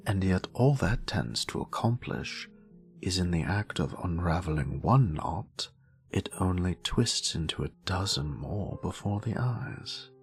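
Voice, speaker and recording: Very slow, low, creaky British wizard played by a man. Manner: theatrical, deliberate, with breathy dramatic drops; wry, condescending scholarly asides. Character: grumpy, sassy, erudite male wizard lore teacher. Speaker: voice actor. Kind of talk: scripted fantasy lore narration.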